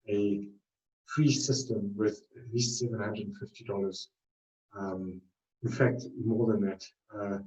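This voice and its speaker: A man's voice: medium pitch, warm tone, reverberant. A man with a South African accent leads a live webinar in a loose, rambling way, often correcting himself mid-sentence. His voice sits in a middling register and comes from a fair distance off the mic. The room rings around him, and the sound is dull and lo-fi. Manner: loose, rambling delivery with frequent self-corrections. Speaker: trading webinar presenter.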